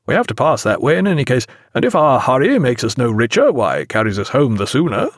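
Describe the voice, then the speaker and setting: A man playing a genial, steady sea captain in an audiobook, with hearty, reassuring authority. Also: medium pitch, fairly quick, no echo, studio quality.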